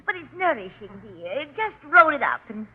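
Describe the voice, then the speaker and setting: A woman's voice: on the high side, very animated, normal speed, slightly rough. Fluttery older mother, tinny old radio. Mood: coaxing. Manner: coaxing, fussy, motherly. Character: fluttery, doting older landlady and mother, cheerfully scatterbrained. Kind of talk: 1940s radio sitcom acting. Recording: tinny, band-limited old radio recording.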